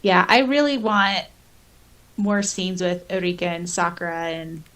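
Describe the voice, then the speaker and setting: A woman co-hosting a casual fan podcast, reading listener comments aloud in an expressive, informal way. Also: lowish voice, unhurried. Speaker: podcast co-host.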